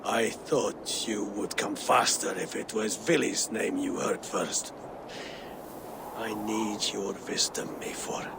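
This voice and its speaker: An actor playing an aging, ailing Viking jarl in a video game, a proud father and clan leader with a gravelly, breathy voice. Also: lowish voice, slow pace, noisy background. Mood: weary, confiding. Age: older. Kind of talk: dramatic video game acting.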